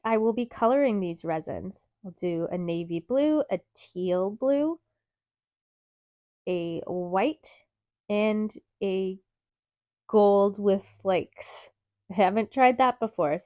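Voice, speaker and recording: A woman with a stuffy, nasal voice from a cold, calmly explaining steps in a craft tutorial. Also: medium pitch, no room sound, studio quality. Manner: calm, methodical, chatty explaining. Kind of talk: step-by-step craft tutorial narration.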